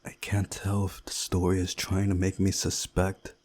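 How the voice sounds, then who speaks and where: Deep, dark-voiced man narrating. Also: monotone, moderate pace. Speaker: visual novel playthrough host. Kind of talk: scripted narration of a visual novel with commentary.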